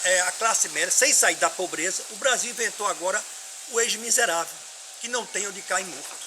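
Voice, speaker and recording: An older man with a Northeastern Brazilian accent from Pernambuco delivers an emphatic, oratorical opinion monologue to his followers, with rhetorical questions. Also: high-pitched. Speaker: political opinion commentator. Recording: clearly noisy background.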